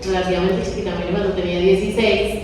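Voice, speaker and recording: A woman's voice: on the low side, little pitch movement, quick pace, darkish tone, a little hiss or hum. A young woman from Colombia's Caribbean coast, eagerly asking a question from the audience with apologetic laughs. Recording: echoey hall, mic from far off.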